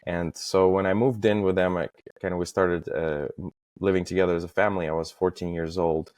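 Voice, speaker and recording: Low-voiced man, very even in pitch. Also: fairly quick, dry recording, clean studio sound. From Estonia. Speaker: podcast guest, facade industry professional. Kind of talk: casual interview, recounting career.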